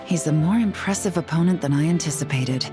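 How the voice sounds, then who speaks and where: Actress doing a cool lady detective in a game, low voice, composed, music up behind. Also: a little fast, natural voice. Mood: cool, analytical. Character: shrewd, composed female investigator. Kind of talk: video game voice acting.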